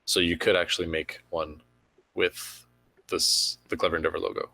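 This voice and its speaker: A man with a very deep voice speaks in an easygoing, loose way, often restarting his sentences mid-thought. The sound is dry and close, as on a video call. He is a game developer chatting with fans. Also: little pitch movement, moderate pace, gravelly, pushed and bright, no room sound. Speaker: game developer answering questions. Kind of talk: casual livestream Q&A chat.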